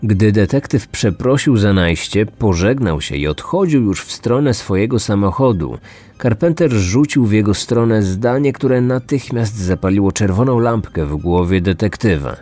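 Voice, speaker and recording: A low male voice narrates a scripted true crime story. He is a podcast host in his thirties, and music plays behind him throughout. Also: lively intonation, normal speed, mellow. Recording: music behind, some reverb.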